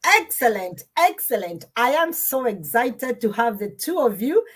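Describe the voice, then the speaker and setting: A woman hosting a live online panel, speaking emphatically and sometimes slowly and deliberately. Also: fairly high pitch, very animated, fairly bright tone, slightly rough. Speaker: host.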